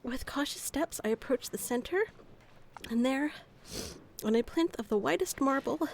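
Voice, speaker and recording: A woman acting a boisterous, apologetic female researcher in an amateur audio drama, halting and flustered as she fights back sneezes. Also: sits high, expressive, vocal fry, a little hiss or hum. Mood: careful reading.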